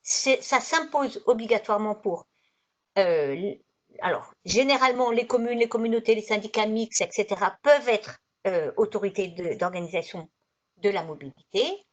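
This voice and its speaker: A woman with a medium-pitched voice leads an online training session. She explains her slides in an informal, didactic manner, often rewording as she goes. The sound is a slightly dull, lo-fi video call. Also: normal speed. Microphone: video conference microphone.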